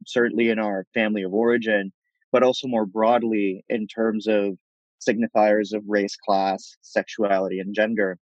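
A man from Puerto Rico speaking as a thoughtful podcast guest, laying out his ideas in articulate, measured phrases. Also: on the low side, a little mellow, no echo, highs cut off. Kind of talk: reflective interview conversation.

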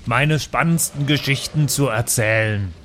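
Mid-pitched man in tabletop role-play. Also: a little slow, a bit bright, slight background noise. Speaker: player in a tabletop role-playing podcast. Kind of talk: improvised in-character tabletop banter.

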